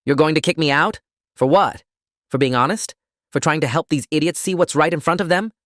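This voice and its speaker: Audiobook villain: desperate male bully, played by a male narrator, loud and accusatory, self-pitying, pitched up from normal talk. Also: lively intonation, quick pace, no room sound, clean studio sound, close to an everyday voice. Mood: indignant rage.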